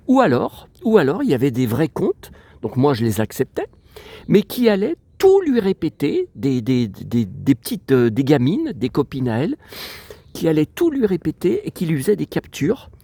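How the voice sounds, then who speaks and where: A man's voice: a little high, wildly swooping pitch, moderate pace, vocal fry, warm tone, breathy edge, no echo. A man with a stuffy, sniffly nose from a cold, rambling in a digressive, aggrieved way as he vlogs outdoors. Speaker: vlogger. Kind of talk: casual rambling vlog chat. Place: outdoors in a cemetery.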